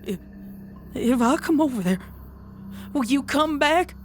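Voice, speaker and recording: A woman voicing a frightened, haunted young farm pony mare, stammering and halting in a dramatized horror story. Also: sits high, expressive, soft background music. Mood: fearful, pleading.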